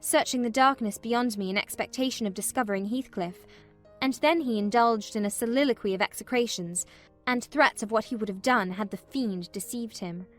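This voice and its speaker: A woman voicing a despairing, proud and weary young gentlewoman who tells her story expressively in a dramatized novel reading. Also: fairly high pitch, normal speed, faint music behind, lightly acted. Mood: subdued, uneasy. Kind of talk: dramatized audiobook narration of a classic novel, in first person.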